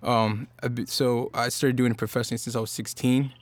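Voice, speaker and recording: A man's voice: mid-range voice, fairly quick, no room sound. A man from Norfolk, Virginia, a singer-songwriter guest talking casually on a podcast, loose and rambling with lots of fillers. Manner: relaxed, rambling with many fillers and restarts.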